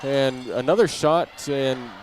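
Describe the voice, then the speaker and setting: A man works as a play-by-play announcer at a live basketball game, with a mid-range voice. He narrates in short, clipped phrases that follow the action. Clear gym noise and ball bounces sit behind him. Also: mid-range voice, slow pace. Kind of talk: live basketball radio commentary.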